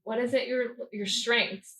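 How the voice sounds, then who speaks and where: A woman's voice: fairly high pitch, fairly even intonation, unhurried, fairly bright tone, poor audio. A woman co-hosting a podcast, giving a tarot reading in an informal, joking way and reading card meanings aloud.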